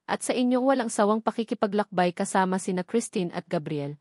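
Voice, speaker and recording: Female storyteller; gentle, formal, heartfelt; dry close read. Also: medium pitch, no echo. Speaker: narrator and author. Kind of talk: scripted story narration.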